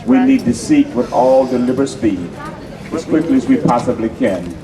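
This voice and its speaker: A pastor addressing a community meeting in a measured, rhetorical style that repeats phrases. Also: male voice, mid-range voice, wildly swooping pitch. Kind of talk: preacherly address to a crowd. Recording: distant mic with loud crowd.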